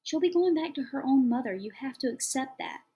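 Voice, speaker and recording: Audiobook: weary, even-tempered dad, read by a woman, calm, firm, soothing. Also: high voice, fairly quick, husky, voice pushed up, heavily acted. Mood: worried. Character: tired, steady foster father, man. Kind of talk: audiobook character voice.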